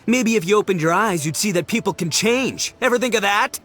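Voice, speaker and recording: A man's voice: high voice, expressive, brisk, fairly bright tone, faint music behind. A man voicing a brash, indignant young gang member in a game, scornful and theatrical. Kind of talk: anime-style game dialogue.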